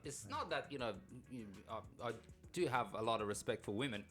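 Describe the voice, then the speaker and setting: A man's voice: medium pitch, expressive, brisk, a bit bright. Informal man from Afghanistan, music behind him. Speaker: podcast co-host. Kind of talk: casual personal storytelling.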